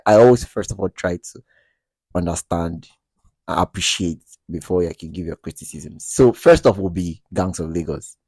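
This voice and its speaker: A man, co-hosting a podcast in casual chat, animated and quick to defend his views, laughing often and restarting sentences. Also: deep voice, no room sound. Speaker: podcast co-host.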